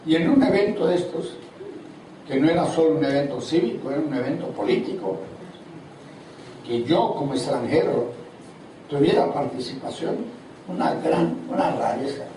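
Older preacher in an echoey hall. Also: male voice, a little high, expressive, normal speed, long pauses, dark tone. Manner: preaches with call-and-response questions to the congregation. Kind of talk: seminar sermon. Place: large hall at a church seminar. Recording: echoey hall from far off, audible noise.

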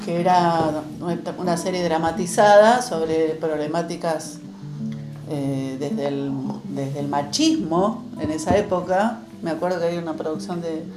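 Woman interviewer, Argentine accent, lower register, conversational recall, prominent music bed. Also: fairly even intonation, a little slow. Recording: roomy echo. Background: loud music.

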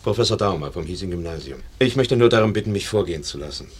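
A gentle male professor, low husky voice, deferential, pleading, protective, radio drama. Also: expressive, quick pace. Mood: urgent pleading. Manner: polite, pleading. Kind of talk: German radio play acting.

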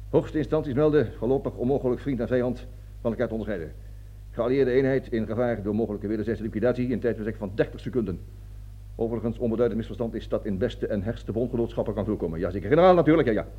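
A man's voice: mid-range voice, fast talker, slightly rough, natural voice. Man playing a pompous army colonel, dull recording. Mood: subdued, obsequious. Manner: declamatory speeches, barking orders, stammering when flustered. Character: pompous fanatical army colonel, bombastic and self-righteous. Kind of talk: satirical radio play acting. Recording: dull lo-fi radio drama recording.

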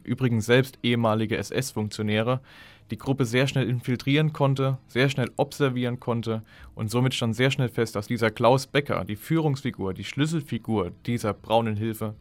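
A man's voice: mid-range voice, moderate pace, dry recording. A man giving explanatory historical commentary, emphatic and building his points by repetition. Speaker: documentary commentator.

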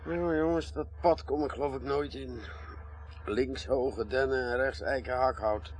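An actor playing a businesslike police detective in a radio drama, formal and brisk with a wry edge. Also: male voice, mid-range voice, fairly even intonation, lightly acted. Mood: wry, casual. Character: businesslike male police detective, polite, eager to finish.

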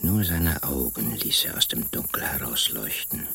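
An actor playing a brooding country man who narrates an eerie radio play, deliberate and hushed, often sinking toward a whisper. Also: rumbling low, lively intonation, vocal fry, breathy edge, heavily acted. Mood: brooding, tense. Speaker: first-person narrator.

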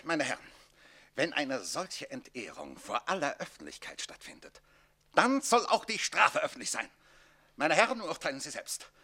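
Jealous, bright-voiced, blustering count. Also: male voice, on the high side, big pitch swings, normal speed, husky, heavily acted. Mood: outraged. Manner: imperious, theatrical, blustering. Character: jealous, hot-tempered count, pompous nobleman tricked by his wife. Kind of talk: classic German radio comedy play acting.

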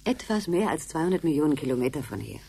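Husky, lively female space traveller. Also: low voice, fast, heavily acted. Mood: calm, factual. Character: composed, matter-of-fact female space traveller from Earth. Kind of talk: German radio drama acting.

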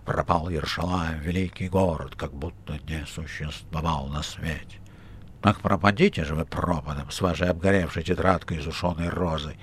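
A man's voice: very deep voice, fairly even intonation, normal speed, slight background noise. A man voicing a short, brash and cheeky red-haired man with a fang, sly and offhand in an audiobook. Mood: sneering. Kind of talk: audiobook character reading.

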